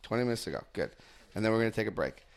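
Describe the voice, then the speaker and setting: A man's voice: on the low side, lively intonation, rapid-fire. A man from New Jersey with a New Jersey accent, leading a recovery group through a book with jokes and stories and checking in with his listeners. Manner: joking, storytelling, checks in often with the group. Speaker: recovery workshop speaker.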